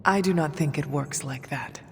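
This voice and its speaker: Stoic warrior, formal and measured. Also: female voice, sits low, slight background noise. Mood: calm, reserved. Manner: formal, measured, avoids contractions. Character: stoic resurrected warrior woman, formal and solemn. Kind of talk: video game cutscene acting.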